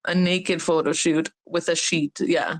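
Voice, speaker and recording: A woman's voice: sits low, fairly even intonation, measured pace. A woman in her late thirties co-hosting a podcast, chatting in an easygoing, agreeable way. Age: 30s. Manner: easygoing, agreeable, laughs along. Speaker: podcast co-host. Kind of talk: casual chat.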